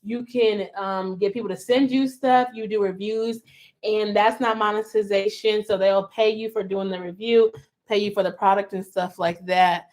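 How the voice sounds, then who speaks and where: A woman hosts a relaxed podcast conversation, reading out listener questions in a playful, easygoing way. She sits at a middling pitch and sprinkles her talk with "um" and "you know". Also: moderate pace. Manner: playful, reads listener questions, frequent "um" fillers. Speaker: podcast host. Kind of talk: casual podcast chat.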